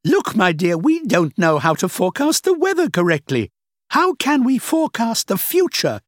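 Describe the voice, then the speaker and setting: A man voicing a sharp, skeptical older woman who lectures and scoffs, in an audiobook reading. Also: high voice, wildly swooping pitch, moderate pace, no room sound, clean studio sound, voice pushed up. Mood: scornful. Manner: scornful, lecturing, emphatic.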